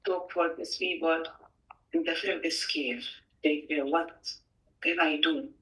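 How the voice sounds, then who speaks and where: A community participant explaining in an interview in halting, non-native English. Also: mid-range voice, fairly even intonation, sharp tone. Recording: distant microphone.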